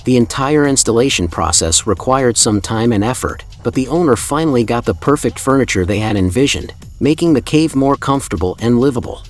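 A man's voice: mid-range voice, expressive, fairly quick, hardly any pauses. A man reading a scripted documentary voice-over in a formal, admiring, evenly paced way. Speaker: narrator. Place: voice-over, not the pictured building site. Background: soft background music.

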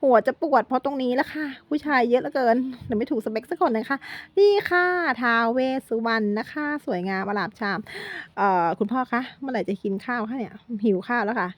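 A woman's voice: on the high side, lively intonation, brisk, few pauses. A middle-aged woman narrating a day trip in a chatty vlog, talking straight to her viewers and joking as she corrects herself. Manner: chatty, addresses viewers constantly, playful self-corrections. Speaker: vlogger.

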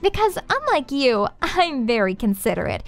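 A woman's voice: sits high, big pitch swings, normal speed, faint music behind. A woman voicing a smug teenage anime girl with a mock-sweet, taunting way of talking. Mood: teasing, gloating.

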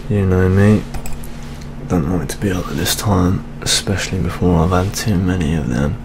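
A young British man playing a weary, jaded older police detective in a soft-spoken ASMR roleplay, muttering with gloomy gallows humour. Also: low-pitched, normal speed, background noise, lightly acted. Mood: jaded, resigned. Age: young adult.

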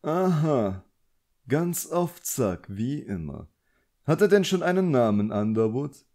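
Guy doing a smooth posh evil wizard, mellow, condescending, audiobook style. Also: mid-range voice, big pitch swings, slow pace, voice pushed up. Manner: suave, politely commanding. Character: elegant, smooth, condescending male villain sorcerer. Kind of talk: audiobook character acting.

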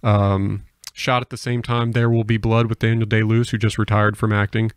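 A man's voice: on the low side, little pitch movement, a little fast, clear, resonant tone, dry recording. An American man hosting a casual podcast conversation, speaking into a close microphone. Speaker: podcast host.

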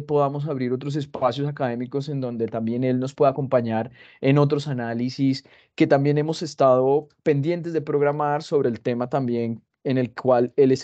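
A man in his forties moderating an academic panel over a video call, speaking haltingly with many fillers and restarts. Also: mid-range voice, warm tone. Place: high-rise office with city view. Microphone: wired earphones on a video call. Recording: dull lo-fi video call audio.

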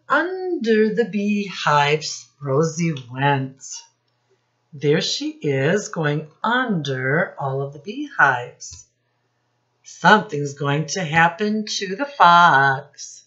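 A middle-aged woman reads a picture book to little children at a very slow pace, in a fairly low voice. She stretches key words and puts questions to her young listeners like a teacher. The sound is a bit dull, from a webcam in a small room. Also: lively intonation, a bit bright. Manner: instructive, prompting listeners with questions, drawn-out emphasis on key words. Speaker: children's storytime teacher and reader.

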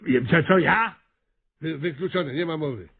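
A man's voice: a little high, normal speed, vocal fry, husky, phone-quality sound. An actor playing a flustered, hesitant small-town mayor in a Polish radio comedy, stammering and repeating his words. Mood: flustered refusal.

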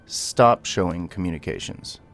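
A man's voice: low-pitched, animated, a little slow, creaky, a bit bright, faint music behind. A man giving a gameplay tutorial, mixing clipped spoken commands with casual explanation. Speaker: tutorial host.

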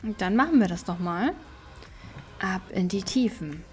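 A woman's voice: medium pitch, animated, a little slow, faint music behind. A woman giving casual let's play commentary, chatty and thinking aloud as she goes. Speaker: gaming streamer and let's play host.

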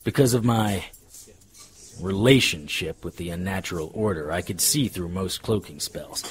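Deep, husky hard-boiled detective. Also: male voice, moderate pace. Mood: wry, world-weary. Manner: sardonic, tough-talking. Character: hard-boiled male private detective, sardonic and threatening. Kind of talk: noir radio drama acting and narration.

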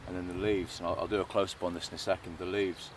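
A middle-aged man with a Lancashire accent and a deep, low voice, talking casually and explaining plants as a bushcraft instructor. He speaks relaxed, with fillers and restarts. He is recorded outdoors in a meadow on a camera mic, with faint outdoor noise behind him. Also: fast talker. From England. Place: outdoors in a grassy meadow by woodland.

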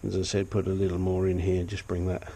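A man's voice: deep voice, monotone, fast, mellow, a little hiss or hum. Blunt, chatty older man in a garage. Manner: blunt, chatty, self-deprecating. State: sniffly. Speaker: hobbyist engine restorer. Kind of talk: casual workshop walkthrough. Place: cluttered home garage workshop.

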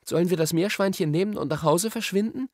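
A man's voice: high-pitched, fast, darkish tone, dry recording, studio quality, pitched above the performer's own voice. A man voicing a cautious, sensible young girl in a storybook, earnest and questioning. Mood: worried, urging.

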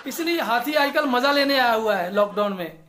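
A man in his thirties doing a stand-up comedy monologue to camera in a small room, animated and switching into voices. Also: very high voice, background noise. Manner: animated, gesturing, quick shifts into character voices.

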